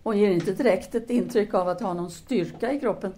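Woman acting as a composed probing intellectual, soft dark tone, formal and restrained, audio drama, slight noise. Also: medium pitch, lightly acted. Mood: composed, attentive.

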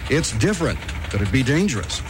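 An American man delivers scripted radio news in a 1960s announcer style. His medium-pitched voice comes through a noisy old broadcast recording. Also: big pitch swings, fast talker.

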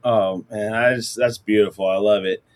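A man's voice: on the low side, fairly even intonation, normal speed. A man hosting a podcast interview, praising his guest and asking thoughtful questions. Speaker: podcast host.

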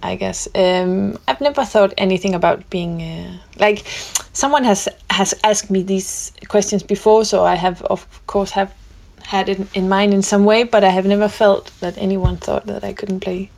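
Gravelly woman, hesitant and thoughtful. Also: mid-range voice, steady tone, moderate pace, hardly any pauses, a little mellow. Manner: hesitant, with many fillers and restarts. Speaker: guest musician. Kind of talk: casual conversation.

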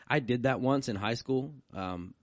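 A man co-hosting a casual podcast in a live stream room, joking and telling quick stories. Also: mid-range voice, no echo, clean studio sound. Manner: jokey, storytelling, quick asides. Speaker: podcast co-host.

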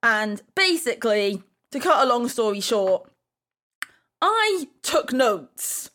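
A woman's voice: on the high side, very animated, slow, fairly bright tone. A woman co-hosting a podcast in a casual, chatty style. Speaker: podcast co-host.

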